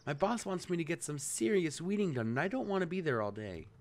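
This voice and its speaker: A man's voice: on the high side, lively intonation, brisk, pitched above the performer's own voice. A man voicing a practical, hardworking young woman from a farm, speaking in a matter-of-fact way.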